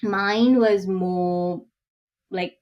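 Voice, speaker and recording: A woman's voice: mid-range voice, fairly even intonation, drawn-out words, a little mellow, muffled recording. A middle-aged woman from Sri Lanka, a guest executive coach answering interview questions in a candid, reflective way and often ending points with "right?".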